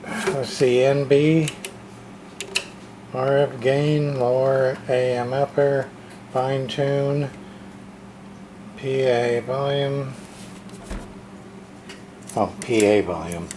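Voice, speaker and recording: A man's voice: medium pitch, fairly even intonation, drawn-out words, pauses often, noisy background. A man casually narrating a hands-on unboxing as a radio repair hobbyist, talking to himself in folksy short fragments.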